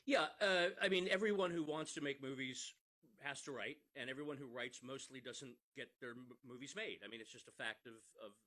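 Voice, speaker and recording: A man's voice: mid-range voice, brisk, bright, forceful tone. A middle-aged man, an interview guest, explaining things conversationally in an earnest, emphatic manner.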